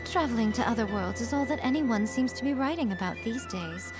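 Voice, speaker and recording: A woman's voice: on the high side, lively intonation, normal speed, natural voice. An actress playing a world-weary, condescending woman in a video game, with a languid, sighing manner. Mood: weary disdain. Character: world-weary, haughty woman disdainful of human stories. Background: loud music.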